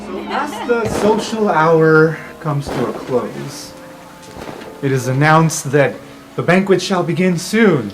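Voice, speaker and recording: Mid-pitched game master narrating. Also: animated, slow pace, noisy background. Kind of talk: improvised tabletop game narration.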